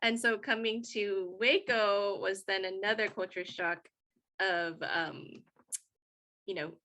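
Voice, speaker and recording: A woman's voice: mid-range voice, steady tone, slow pace, sharp tone. A woman from the United States speaking on a panel, earnest and reflective, with frequent fillers as she thinks aloud. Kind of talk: panel discussion.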